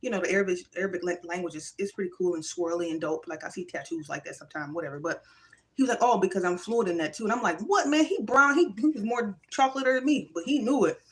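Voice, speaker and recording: A woman, talking casually on her own livestream. She speaks in an animated, emphatic way and moves between thoughtful musing and preachy encouragement. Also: mid-range voice, fast. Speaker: livestream vlogger. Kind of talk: casual spiritual livestream monologue. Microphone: phone or webcam livestream.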